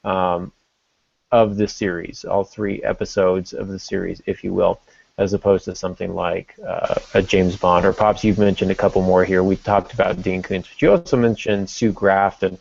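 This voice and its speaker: Low, gravelly man, dull recording. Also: little pitch movement, normal speed, a little mellow. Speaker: podcast co-host. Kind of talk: casual discussion of fiction writing. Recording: dull lo-fi podcast audio.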